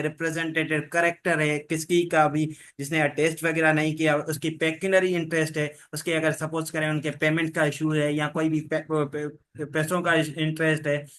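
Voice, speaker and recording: Male tutor with a fairly high voice, steady and even delivery, explaining legal points to a class. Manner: explains in Hindi mixed with English legal terms, reads rules slowly. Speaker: teacher. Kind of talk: online law lecture.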